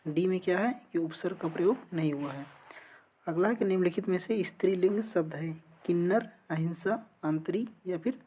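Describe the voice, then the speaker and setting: Low-pitched woman teaching. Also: normal speed, warm tone, slightly rough. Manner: instructional, poses questions then answers them. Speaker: exam-prep teacher. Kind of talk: lesson going through multiple-choice quiz questions.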